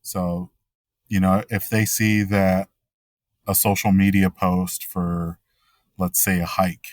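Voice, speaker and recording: A man from the United States whose first language was Spanish, answering interview questions in a measured, thoughtful way. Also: low-pitched, darkish tone, no room sound, clean studio sound. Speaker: podcast guest.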